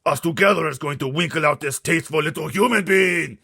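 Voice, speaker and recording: A man voicing a huge, bullying, man-eating giant in a storybook, booming out threats and mangled words. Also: fairly quick, gravelly, pushed and bright, slightly rough, no room sound, clean studio sound, exaggerated voice. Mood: bullying, furious. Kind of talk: storybook read-aloud character voice.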